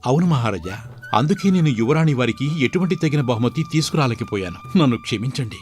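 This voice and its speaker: A brave but humble young prince of a folk tale, voiced by a man. He talks earnestly in a respectful, formal way at an average male pitch, over faint music. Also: very animated, fairly quick, a little mellow, natural voice. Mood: apologetic. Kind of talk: dramatised Telugu folk tale.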